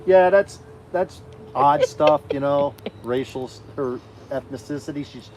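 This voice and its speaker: A man's voice: on the high side, a little slow, background noise. Hesitant man chatting on a livestream. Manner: hesitant, repeats and restarts a lot. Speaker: co-host. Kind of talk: casual livestream chat.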